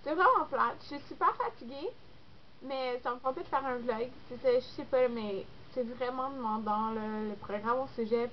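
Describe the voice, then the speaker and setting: A woman's voice: sits high, moderate pace. Stuffy-nosed young Québécois woman chatting. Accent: Québécois French. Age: young adult. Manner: chatty, rambling, lots of fillers. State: congested with a cold. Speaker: beauty vlogger. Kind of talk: casual chat to camera. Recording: dull lo-fi recording with faint noise.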